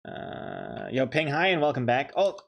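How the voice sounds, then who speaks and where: A man's voice: medium pitch, animated, unhurried, noisy background. Chatty New man streaming. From New Zealand. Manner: chatty, jokey, thanking viewers. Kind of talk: casual chat while drawing on stream.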